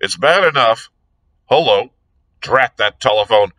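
A man's voice: medium pitch, animated, unhurried, sharp tone, heavily acted. A man voicing a stubborn, harassed police inspector who grumbles morosely and scornfully. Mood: harassed, irritated.

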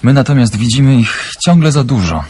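An actor playing a wry, joking underground contact in a radio play. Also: male voice, medium pitch, animated, a little fast, a little mellow, husky. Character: wry man from the underground, friendly and ironic.

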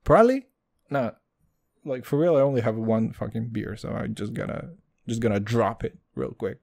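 Guy hosting a comedy podcast, foul-mouthed, keeps saying dude, mellow dark voice. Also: medium pitch, moderate pace, creaky. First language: Hebrew. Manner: crude, loud swings, calls listeners dude. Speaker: podcast host. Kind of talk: comedic solo podcast rant.

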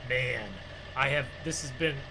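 A man bantering in an improvised gaming roleplay, excitable and full of exclamations, often urging others on. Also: mid-range voice, a little slow. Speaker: gaming video creator playing a truck roleplay. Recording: faint background noise.

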